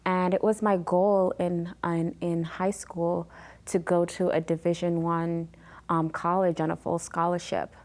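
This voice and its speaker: A woman in her thirties, originally from Jamaica, telling her life story as an interview guest with frequent pauses and fillers. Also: lowish voice, little pitch movement, darkish tone. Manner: many ums and pauses, earnest retelling.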